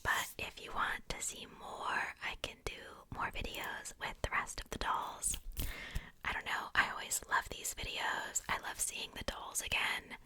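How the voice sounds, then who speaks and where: A woman whispering softly in a casual, chatty ASMR style, rambling along with conversational asides. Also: a little slow. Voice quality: soft unvoiced whisper. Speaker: ASMR video host showing a doll collection.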